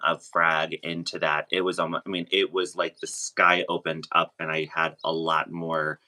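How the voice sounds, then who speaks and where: Male guest, very low but bright, forceful voice, casual and halting conversational delivery. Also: level tone. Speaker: podcast guest.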